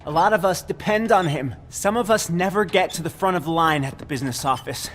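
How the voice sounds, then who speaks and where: A man's voice: fairly high pitch, fairly quick, a bit bright, a little hiss or hum, lightly acted. Meek, earnest doorman played by a man. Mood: earnest pleading. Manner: polite, pleading, hesitant. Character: meek, earnest, dutiful little male doorman. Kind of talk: video game dialogue.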